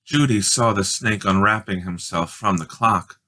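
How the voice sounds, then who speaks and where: A man reading a children's storybook aloud as a dramatic, expressive narrator. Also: on the low side, moderate pace. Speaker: storybook reader.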